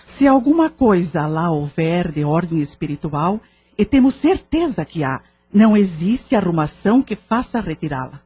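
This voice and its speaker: Gentle older spiritist lady, Brazilian accent, measured, reassuring, husky, muffled lo-fi audio drama. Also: on the low side, big pitch swings, full character voice. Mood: composed, earnest. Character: kindly, composed older lady of a spiritist centre. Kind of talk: audio drama acting in Portuguese. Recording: dull lo-fi audio drama recording.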